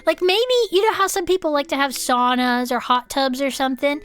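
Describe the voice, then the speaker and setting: A woman's voice: high voice, a little fast, a bit bright. A woman playing a quirky, earnest cryptid enthusiast, telling silly tales in a playful comic chat with baby-talk words. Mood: quirky, musing. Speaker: podcast co-host.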